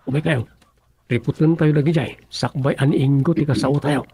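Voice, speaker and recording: A man acting a scheming, excitable chicken thief with a husky voice in a radio comedy. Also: on the high side, normal speed, heavily acted. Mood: conspiratorial.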